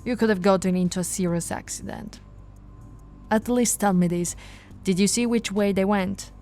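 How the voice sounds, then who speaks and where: A woman voicing a patient, teasing female police officer in an ASMR audio roleplay, speaking softly and coaxingly to the listener, recorded close to the mic. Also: medium pitch, moderate pace, faint music behind, lightly acted. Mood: wry. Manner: soft-spoken, coaxing, playfully flirtatious. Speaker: ASMR voice actress.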